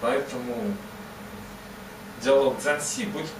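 A man's voice: medium pitch, slow pace. A man telling a comic story to an audience in a chatty, digressive and self-mocking way. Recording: roomy, distant mic, ambient noise.

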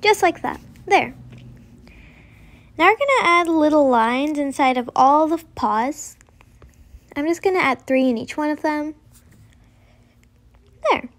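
A woman's voice: high voice, lively intonation, slow, pauses often. A young girl narrating a step-by-step drawing tutorial in a casual, simple way. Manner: simple, informal instruction with frequent "like".

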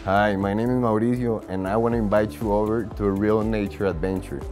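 Mellow, flat-toned man inviting travelers. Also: lowish voice, normal speed, soft background music. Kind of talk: promotional invitation.

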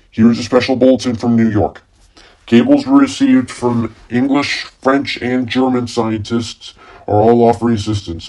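A man playing an urgent radio newsman in a formal, halting 1930s bulletin style for a radio play. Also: medium pitch, darkish tone, lightly acted, low-quality recording. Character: urgent male radio newsman reporting a Martian invasion. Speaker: radio news announcer.

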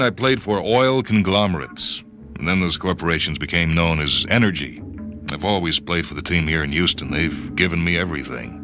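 A man narrates radio fiction in the first person. His voice is very low yet bright and forceful, brooding, with dramatic pauses, over a light bed of music. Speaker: story reader. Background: music and sound cues.

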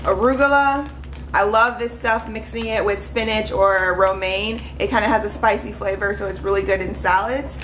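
A woman's voice: medium pitch, steady tone, normal speed, background noise. A young woman in her twenties chatting casually in a home kitchen, holding up groceries one by one for a webcam vlog. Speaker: vlogger.

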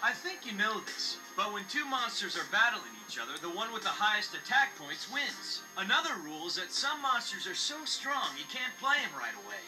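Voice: medium pitch, very animated, a little fast, few pauses, close to an everyday voice, poor audio. An actor playing a confident, friendly teenage boy who explains things casually in an English anime dub. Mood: instructive. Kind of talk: English-dubbed anime acting. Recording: TV playback filmed, music behind.

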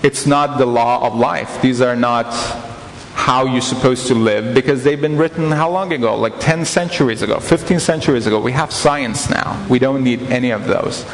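A man's voice: mid-range voice, moderate pace, slight background noise. Earnest man in an echoey hall. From Iran. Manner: earnest, gesturing, restarting phrases. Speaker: guest speaker. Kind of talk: church talk. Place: church hall, indoors. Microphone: headset mic. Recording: echoey church hall reverb.

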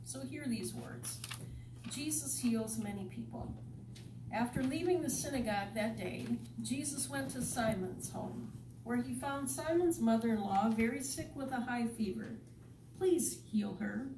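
A woman's voice: on the high side, unhurried, dark tone. A woman leading a church service in a folksy, informal way, as a pastor speaking to a congregation. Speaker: worship leader, pastor. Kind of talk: church worship service, informal welcome, prayer and scripture reading. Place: church sanctuary during a service. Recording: noisy, echoey church, distant microphone.

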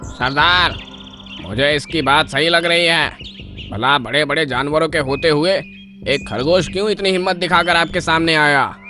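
Sly cartoon jackal sidekick, voiced by a man, sharp bright tone. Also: fairly high pitch, moderate pace, faint music behind. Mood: wary. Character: sly male jackal adviser. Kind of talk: cartoon dubbing.

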